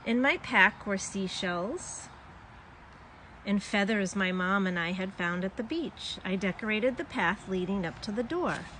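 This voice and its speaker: A woman's voice: mid-range voice, animated, a little slow, fairly bright tone, a little hiss or hum, rough recording. A woman reading a children's story aloud expressively, drawing out words for young listeners.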